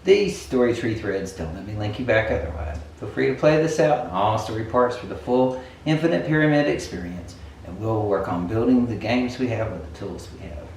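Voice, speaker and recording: A man's voice: fairly low pitch, expressive, brisk, vocal fry, few pauses, darkish tone. A man, the author of a story game, reading out instructions and giving brief casual replies. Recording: very echoey room, distant mic, faint noise.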